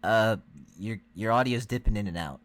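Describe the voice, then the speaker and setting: A co-host talks casually through a reaction session. The voice sits at a middle pitch, with an easygoing, reassuring way of talking. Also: moderate pace.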